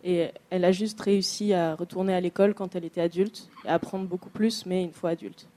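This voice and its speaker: A woman interpreting a speaker's words into French, plain and steady with brief pauses. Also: on the low side. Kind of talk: consecutive interpretation.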